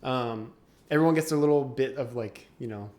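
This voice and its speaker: A man's voice: medium pitch, normal speed, darkish tone. A young man co-hosting a podcast, talking casually and animatedly, laughing often and acting out quotes. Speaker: podcast co-host. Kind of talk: casual chat.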